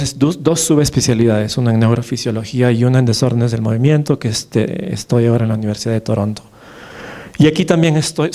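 Peruvian man lecturing with a mellow voice. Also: mid-range voice. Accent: Peruvian Spanish. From Peru. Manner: conversational, many fillers and tag questions. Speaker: neurologist giving a lecture. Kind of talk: conference lecture. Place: large dark lecture hall with projector. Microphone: podium microphone, far camera.

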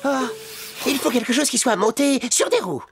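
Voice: high voice, sing-song, moderate pace. An actor voicing a fussy but helpful toy robot mechanic in an emphatic cartoon manner. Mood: exasperated. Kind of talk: children's cartoon acting, French dub. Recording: loud music behind the voice.

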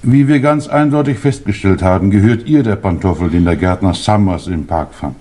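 A man's voice: low voice, moderate pace, warm tone. An actor playing a polite, official English policeman in a 1950s German radio play, formal and deferential.

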